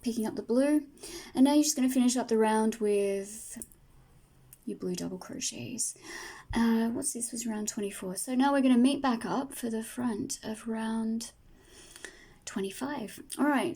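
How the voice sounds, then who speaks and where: A woman teaching crochet step by step, patient and soft-spoken, her voice tired and fading from a long day of talking. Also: a little high, moderate pace, slightly rough. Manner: soft, patient, talks through each stitch. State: losing her voice. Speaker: crochet tutor. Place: home table, indoors.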